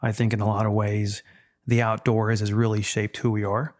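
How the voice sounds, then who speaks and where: Man in his fifties, from Texas, first language Spanish, low steady voice, reflective casual chat. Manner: measured, reflective, with frequent fillers. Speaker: podcast guest.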